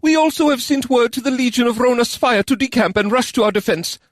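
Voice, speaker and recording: A man voicing a nervous male officer who reports bad news too loudly and in a strained way. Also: very high voice, a little fast, dry recording, studio quality, voice pushed up. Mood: uneasy. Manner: loud and strained, avoids the point.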